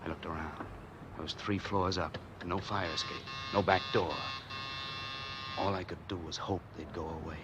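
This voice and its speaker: A man acting a wary, hardboiled man on the run in an old radio noir drama, narrating and talking in terse, deadpan slang. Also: sits low, normal speed, heavy background noise, lightly acted. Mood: grim, tense. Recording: old time radio recording with music behind.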